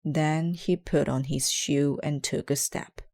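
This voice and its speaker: A woman narrates a children's storybook aloud. Her voice sits low and she takes the text very slowly, phrase by phrase. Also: fairly even intonation, darkish tone. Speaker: children's book reader. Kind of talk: scripted storybook read-aloud.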